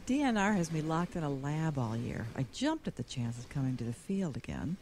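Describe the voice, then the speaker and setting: Low-voiced, dry skeptical woman scientist. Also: very animated, a little fast, darkish tone, slight background noise. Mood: dry, guarded. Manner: dry, precise. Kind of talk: audio drama acting.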